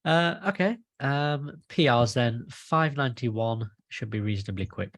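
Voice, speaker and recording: A man's voice: medium pitch, measured pace, dry recording. A man chairing an online work meeting in an informal, self-deprecating way. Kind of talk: casual meeting facilitation. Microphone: video call.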